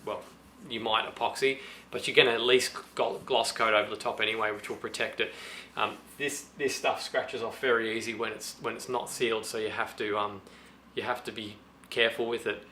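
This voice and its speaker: Man, slightly hoarse, sharp bright tone, casual hobby how-to, thinks aloud, restarts often. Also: on the low side, steady tone, a little fast, a little hiss or hum. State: slightly hoarse from a sore throat. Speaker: DIY guitar pedal vlogger.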